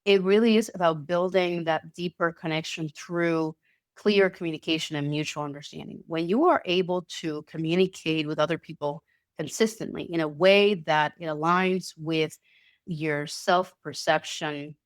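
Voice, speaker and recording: A woman giving a casual online talk with slides, slightly nasal from a cold, with halting speech and frequent restarts. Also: low voice, dry recording, studio quality. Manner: halting, many restarts and repeated words. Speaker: presenter.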